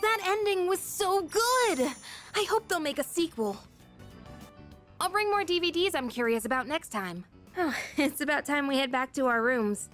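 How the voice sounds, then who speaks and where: A woman voicing a friendly, earnest, slightly shy teenage schoolgirl in a video game, soft-hearted and reflective. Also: high voice, expressive, moderate pace. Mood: cheerful, playful. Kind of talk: English video game voice acting. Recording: background music under the voice.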